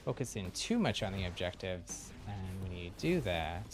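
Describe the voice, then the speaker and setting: A man's voice: deep voice, very animated, normal speed. A man giving casual, easygoing live commentary on his own gameplay, talking to himself as he plays. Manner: easygoing, talks to himself while playing. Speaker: game streamer. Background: video game music.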